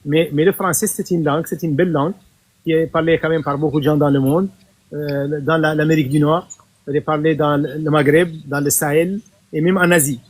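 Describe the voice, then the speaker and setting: A man's voice: a little high, steady tone, a little fast, no room sound. A man who leads a party from Mauritania, giving thoughtful answers in an interview and often restarting his phrases. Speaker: political party leader, interview guest.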